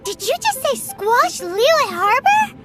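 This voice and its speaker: Little fairy companion girl, very high squeaky voice, highly animated, excitable, childlike, video game character, music underneath. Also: slow pace, heavily acted. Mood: alarmed, pleading. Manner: speaks of self in third person, exclamatory. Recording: music behind the voice. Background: playful game music.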